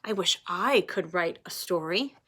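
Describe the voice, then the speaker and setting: A woman's voice: on the low side, expressive, measured pace, a bit bright, breathy edge, full character voice. A woman voicing a small boy who is unsure how to write a story, in a picture book read aloud. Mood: wondering. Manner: wondering, hesitant.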